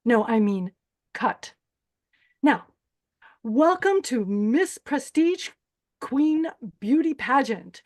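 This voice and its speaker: A woman's voice: high voice, animated, extremely slow, pauses often, heavily acted. A woman playing a stern, callous older beauty queen who hosts a pageant in a screenplay table read, commanding and cold in manner. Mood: stern, menacing. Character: stern, callous 64-year-old female pageant host, former beauty queen.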